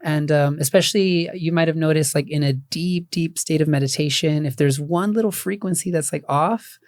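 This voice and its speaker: Raspy-voiced man, relaxed podcast guest. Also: fairly high pitch, normal speed, dry recording, studio quality. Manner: relaxed, many fillers and restarts. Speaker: guest sound healer and musician. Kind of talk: casual podcast interview.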